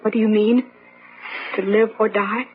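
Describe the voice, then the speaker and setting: An actress playing an earnest, brave but disillusioned young daughter who speaks haltingly and questioningly in a gothic radio drama. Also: female voice, medium pitch, level tone, close to an everyday voice. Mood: pleading, indignant. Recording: muffled old radio drama, faint music.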